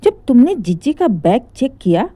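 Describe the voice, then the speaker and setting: A woman's voice: fairly high pitch, sing-song, moderate pace, dark tone, no echo. Woman playing a stern, indignant mother-in-law, commanding. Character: stern, indignant mother-in-law scolding her son's wife.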